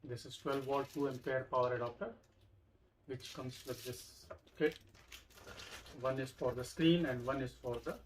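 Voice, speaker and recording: A man's voice: mid-range voice, steady tone, measured pace. Man presenting an unboxing, noisy recording. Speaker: tech review channel host. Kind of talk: unboxing product review, explanatory.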